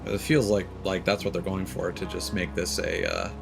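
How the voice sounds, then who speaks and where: A man's voice: low-pitched, level tone, moderate pace, vocal fry. A man streaming a video game, giving rambling, offhand commentary with lots of fillers and casual swearing. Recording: game music playing behind the voice. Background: game soundtrack guitar music.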